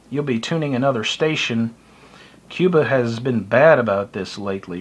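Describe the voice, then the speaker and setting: A man's voice: medium pitch, a bit bright. A middle-aged American man talking casually and bluntly to camera, with a wry, opinionated manner. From United States. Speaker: hobbyist video blogger. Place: small indoor room by a window. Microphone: phone or webcam close to the face.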